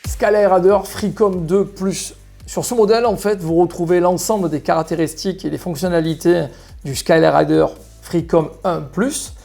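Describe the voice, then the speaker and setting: Male product presenter, mellow and creaky delivery, explanatory, addressing viewers over background music. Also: sits high, steady tone, normal speed. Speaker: motorcycle shop product presenter. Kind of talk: product presentation, semi-scripted.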